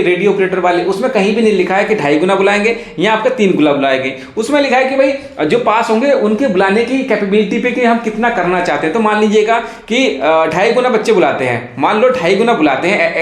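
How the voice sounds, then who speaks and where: A man with a notably high voice gives informal coaching advice. He explains step by step and often repeats key phrases. The sound is clearly roomy, with echo around the voice. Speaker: exam coaching teacher and YouTuber. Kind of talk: informal explainer on police recruitment. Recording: echoey room.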